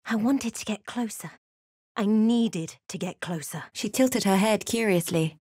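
Fantasy game heroine, wry, watchful, measured dramatic storytelling, medium pitch, dry close sound. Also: female voice, darkish tone, breathy edge, no room sound. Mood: measured, grave. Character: wry, watchful female fantasy adventurer recounting her journey. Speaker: video game voice actor. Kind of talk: scripted fantasy game narration and dialogue.

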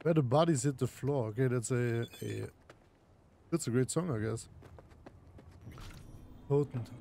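Slow, mellow German-accented man streaming. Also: medium pitch, gravelly, slight background noise. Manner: halting, searching for words. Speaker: game streamer. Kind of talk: casual live game commentary. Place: indoor room, streaming setup.

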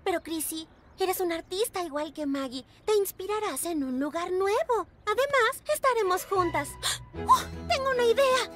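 A woman voicing an upbeat, confident girl leading her friends in an animated cartoon, eager and encouraging. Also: very high voice, moderate pace. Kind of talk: animated cartoon dub acting. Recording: music bed behind the voice.

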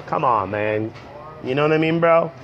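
A young man, hoarse from a cold, rambling casually to viewers on a livestream from a coffee shop. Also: fairly high pitch, lively intonation, normal speed, slight background noise. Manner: rambling, slangy, talks straight to viewers. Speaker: comedian livestreaming. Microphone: earbud headset mic on a phone. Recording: dull lo-fi phone stream.

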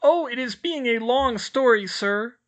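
A man's voice: very high-pitched, animated, unhurried, pitched above the performer's own voice. A man voicing an old female house-elf who speaks in broken grammar. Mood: disturbed.

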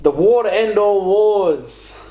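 A man's voice: sits high, lively intonation, takes it slowly, dark tone. Young man bantering in an echoey room. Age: young adult. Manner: teasing, sweary interjections. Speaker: interviewer. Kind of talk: casual chat. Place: small living room, on a couch. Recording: echoey room with background noise.

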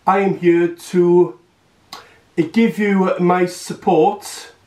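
A young man speaks slowly and earnestly to his camera, stumbling over words and filling gaps with "uh". His voice sits a bit high for a man. The sound is echoey and distant, as if the camera sits across a bare room. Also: flat delivery, darkish tone. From Wales. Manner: halting, many fillers and restarts, earnest. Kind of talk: casual vlog speech to camera. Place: small room at home, indoors.

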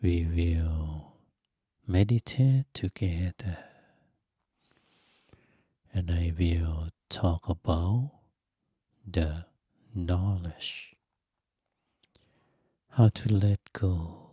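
Man, meditation teacher, mellow dark tone, breathy and soft, dry close sound, soothing, unhurried short phrases. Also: sits low, no room sound. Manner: gentle, soothing, long pauses between short phrases. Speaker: Buddhist monk teaching meditation. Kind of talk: guided meditation.

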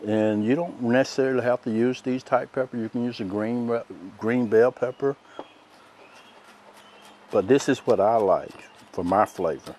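A soft-spoken middle-aged man, demonstrating a recipe outdoors as a cooking show host in a relaxed, folksy way. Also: medium pitch, measured pace, slight background noise. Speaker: chef host. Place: outdoors by a lake in woods.

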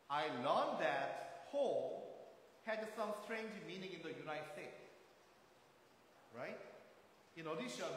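A man in his thirties with a Korean accent preaches as a guest pastor, speaking in a careful, measured way. His voice sits fairly high for a man. It rings through a large, reverberant church sanctuary, picked up from a distance with a faint hiss. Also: a bit bright. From South Korea. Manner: careful, measured delivery with occasional rephrasing. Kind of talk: sermon. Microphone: far camera at back of sanctuary.

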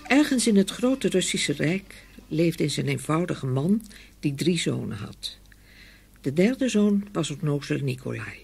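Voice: medium pitch, big pitch swings, measured pace, creaky, a little mellow, faint music behind. A storyteller giving a dramatic scripted narration of a fairy tale. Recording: faint ambient noise behind voice.

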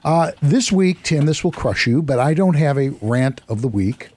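Hoarse, chatty male radio host. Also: fairly high pitch, expressive, normal speed, darkish tone. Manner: chatty, self-deprecating, rambling. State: hoarse, losing his voice. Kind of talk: casual radio talk. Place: radio studio. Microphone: studio microphone.

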